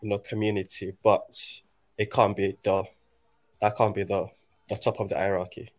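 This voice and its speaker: A man in his twenties from Nigeria, talking in a reflective, halting way with many restarts in a casual conversation. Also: fairly low pitch, flat delivery. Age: 20s.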